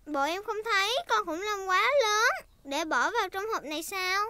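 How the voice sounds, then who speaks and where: Peppa, a bossy squeaky little girl piglet. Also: moderate pace, sharp tone, no room sound. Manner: bossy, teasing, giggly. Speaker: cartoon character. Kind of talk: Vietnamese cartoon dub. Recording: clean studio dub over music.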